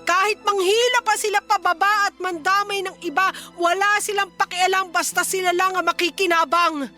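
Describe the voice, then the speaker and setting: Betrayed wealthy lady employer woman actor: very high, bright voice, stern, bitter, reproachful radio-drama delivery. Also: steady tone, moderate pace, soft background music. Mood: bitter hurt. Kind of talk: radio drama acting in Tagalog.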